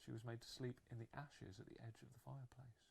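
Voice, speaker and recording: A woman with an unusually deep, creaky voice narrates a fairytale for children. She is agreeable and a little halting, making the story up as she goes. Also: little pitch movement, a little mellow.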